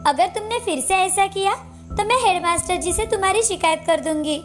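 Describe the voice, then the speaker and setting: A woman's voice: very high voice, brisk, soft background music, voice pushed up. A woman voicing a poor, timid, earnest little schoolgirl in a children's cartoon story, innocent and plaintive. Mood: indignant.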